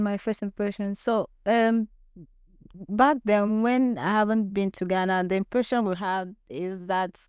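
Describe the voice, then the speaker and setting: A woman's voice: mid-range voice, clear, resonant tone, dry recording, clean studio sound. A young woman from Nigeria with a Nigerian accent, chatting casually and a little argumentatively as an interview guest. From Nigeria, grew up in Lagos.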